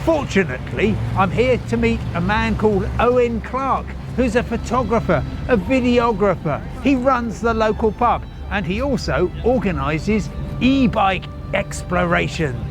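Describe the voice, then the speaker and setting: A man's voice: sits high, expressive, a little slow, over music. A man presenting a travel documentary in polished, enthusiastic scripted narration.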